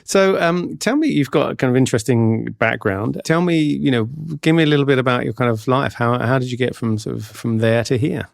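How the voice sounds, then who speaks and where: A man hosting a podcast, reading a smooth scripted intro and then asking loose, relaxed questions. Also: medium pitch, fairly quick, hardly any pauses, dry recording, clean studio sound. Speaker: podcast host.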